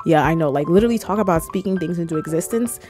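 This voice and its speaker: A woman's voice: lowish voice, quick pace, music in the background. A woman in her twenties from Cameroon, chatting playfully to camera, holding a close mic in a small room. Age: 20s. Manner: playful, animated, jokey with squealed asides. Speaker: YouTuber. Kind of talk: casual chat to camera. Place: small room with red lighting, indoors. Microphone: handheld mic close to the mouth.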